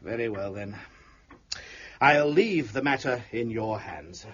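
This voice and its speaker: An actor playing an anxious, officious police inspector of old Paris in a period radio drama, formal and earnest. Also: male voice, on the low side, lively intonation, takes it slowly, highs cut off, noisy background. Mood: urgent, earnest. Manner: formal, earnest, hesitant throat-clearing starts.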